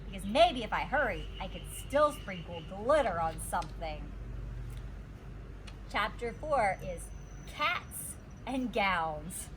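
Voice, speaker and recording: A woman reading a children's storybook aloud as a teacher, with expressive, deliberate storytelling. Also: mid-range voice, slight background noise, rough recording.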